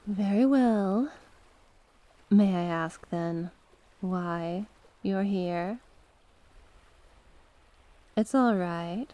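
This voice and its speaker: A woman's voice: medium pitch, drawn-out words, pauses often, warm tone. A woman playing a mysterious sea witch in an ASMR roleplay, coaxing and sly, speaking with genteel courtesy and terms of endearment. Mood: gently probing.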